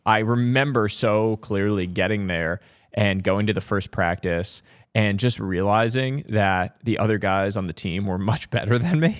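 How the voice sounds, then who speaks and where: A man's voice: on the low side, measured pace, clear, resonant tone, no room sound, clean studio sound. A man from Massachusetts, a podcast guest, thoughtfully telling stories about his early life, with frequent "um" and "like" fillers. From Massachusetts, USA. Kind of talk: reflective interview storytelling.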